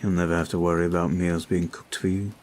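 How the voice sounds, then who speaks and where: A man voicing a devoted young male vampire in an ASMR roleplay audio. He speaks in an earnest, formal, quiet way. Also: sits low, little pitch movement, fast talker.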